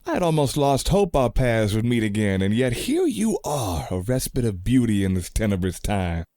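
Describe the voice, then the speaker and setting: A man playing a gallant frontier gentleman with courtly, flowery turns of phrase in a radio play. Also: fairly low pitch, sing-song, moderate pace, dry recording, studio quality.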